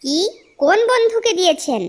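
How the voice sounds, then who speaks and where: A woman voicing a devout, caring and easily flustered young wife in a moral-tale cartoon, polite and expressive. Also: extremely high pitch, a little fast. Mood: puzzled, alarmed.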